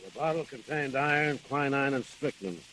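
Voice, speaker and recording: An actor playing a businesslike police sergeant making reports in a 1940s radio drama. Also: male voice, mid-range voice, normal speed, pushed and bright, close to an everyday voice. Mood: matter-of-fact. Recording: distant, hissy 1940s radio drama recording.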